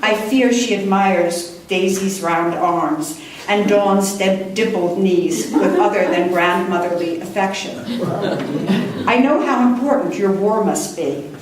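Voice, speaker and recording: A woman poet reading her own work live at a book launch, with a measured, deliberate delivery and careful pauses. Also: mid-range voice. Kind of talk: live poetry reading with brief introductions. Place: indoor room before a live audience. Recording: distant mic, echoey room, faint noise.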